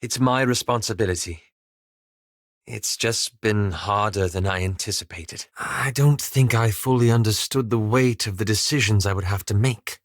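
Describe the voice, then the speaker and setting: A man playing a weary, guilt-ridden elf adventurer in a video game, speaking in a measured, formal and articulate way with slight hesitance. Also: lowish voice, no room sound, studio quality, close to an everyday voice. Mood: weary, regretful. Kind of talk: video game character dialogue.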